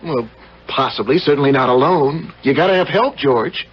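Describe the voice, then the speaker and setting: An actor playing a sensible, firm young psychiatrist in a 1970s radio drama. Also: male voice, medium pitch, lively intonation, normal speed, slightly rough. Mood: firm, concerned. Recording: dull old radio recording.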